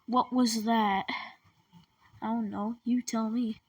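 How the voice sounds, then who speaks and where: A woman's voice: fairly high pitch, steady tone, slow pace, breathy edge. A woman giving casual, informal reactions in muttered asides, recorded at a distance with noise. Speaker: video host reacting to a broadcast. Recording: distant mic with noisy background.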